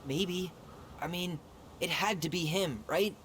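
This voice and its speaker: A man's voice: high-pitched, slow, fairly bright tone, slight background noise. An actor playing an earnest, insistent young man haunted by the past, in a naturalistic video game cutscene. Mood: troubled, urgent. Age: young adult.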